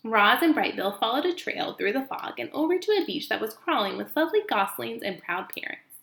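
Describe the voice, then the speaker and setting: A woman reading a children's book aloud as an expressive storyteller, recorded in an echoey room. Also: a little high, a little fast, gravelly.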